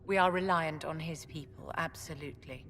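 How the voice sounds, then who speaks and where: An actress playing a haughty, elegant court mage in a video game, measured and condescending. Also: female voice, low-pitched, a bit bright. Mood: cool, commanding. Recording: reverberant hall, faint background noise.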